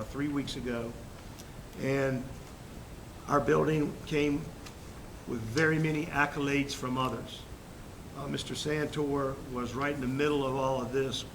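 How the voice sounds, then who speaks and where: A man with a head cold giving a formal superintendent's report at a school board meeting, halting with fillers and restarts. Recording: noisy room recording with rumble.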